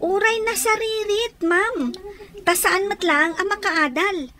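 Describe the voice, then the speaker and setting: A woman's voice: very high-pitched, slow, crowd noise. An actress playing a glum, defensive young female student in an Ilocano radio drama, with a plaintive way of talking. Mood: resigned.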